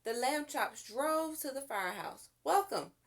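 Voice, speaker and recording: Animated woman reading a children's story. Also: on the high side, a little slow. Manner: expressive, with character voices and asides to listeners. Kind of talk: read-aloud children's storytelling.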